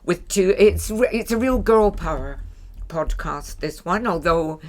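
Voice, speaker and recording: A woman's voice: lowish voice, expressive, unhurried. An older woman, hosting a chatty podcast interview in a blunt, wry manner. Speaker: podcast host. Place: small stage in a bar venue.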